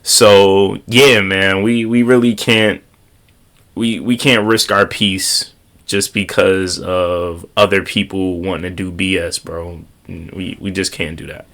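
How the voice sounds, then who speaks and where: A man's voice: low voice, steady tone, slow pace, a bit bright. A young man with a slight cold and a congested voice, giving a casual motivational talk outdoors and repeating key phrases for emphasis. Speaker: motivational vlogger. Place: outdoors on a windy day.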